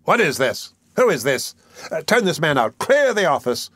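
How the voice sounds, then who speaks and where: A man performs a pompous, bullying magistrate from a classic storybook. He barks his orders with irritable contempt and pushes his voice up in pitch, in a very dry recording. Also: expressive, a little fast, a bit bright, no room sound. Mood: indignant, barking. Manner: peremptory, barking, contemptuous.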